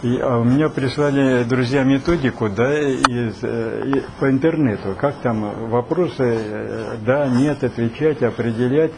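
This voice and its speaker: Older man in his 70s, folksy and rambling, mid-pitched voice, clear background noise on the recording. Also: measured pace, few pauses. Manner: rambling, folksy, many fillers. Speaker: participant. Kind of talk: casual storytelling. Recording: noisy recording.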